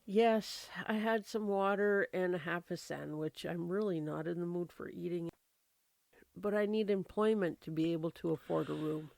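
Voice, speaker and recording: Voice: mid-range voice, fairly even intonation, moderate pace, vocal fry, darkish tone, no echo, close to an everyday voice. A performer playing a polite, grateful, earnest young woman secretary in an old-time radio drama. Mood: subdued, earnest.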